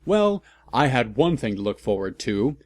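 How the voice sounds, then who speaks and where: A man reading a story aloud for comedy, deadpan and mocking, with sarcastic asides. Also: mid-range voice, normal speed. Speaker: host of a fan fiction reading channel.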